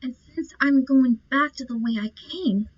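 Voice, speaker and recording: A woman's voice: sits high, normal speed, husky. A woman giving casual commentary as she plays through a video game. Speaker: gamer presenting a playthrough. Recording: dull lo-fi mic.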